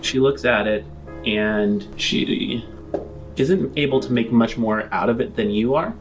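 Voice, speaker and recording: A man's voice: mid-range voice, measured pace, over music, poor audio. A man narrating an improvised tabletop game as game master.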